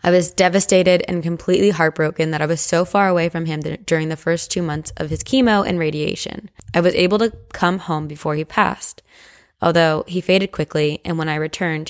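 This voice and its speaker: Lower-voiced woman podcast host. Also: steady tone, fairly quick. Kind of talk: casual paranormal podcast chat and story reading.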